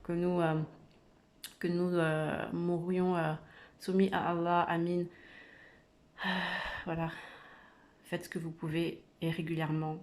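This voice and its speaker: An earnest woman speaks in a lowish, level voice. She hesitates often, restarting her sentences and filling the pauses, as she urges her listeners on in an informal religious talk. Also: flat delivery, darkish tone. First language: not Arabic. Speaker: religious video creator. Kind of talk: informal spoken religious exhortation.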